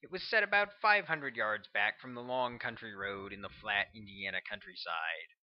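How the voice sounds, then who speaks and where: A man's voice: fairly low pitch, normal speed, lo-fi sound. A man reading his own thriller novel aloud as scripted audiobook narration.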